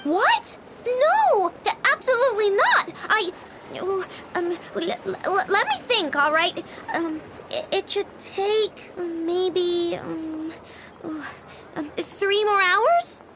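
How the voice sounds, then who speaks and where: A woman's voice: very high voice, lively intonation, slow pace, a bit bright, a little hiss or hum, full character voice. A woman voicing a cheeky, brash young girl genius mechanic with a casual, boastful manner in a video game. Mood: flustered.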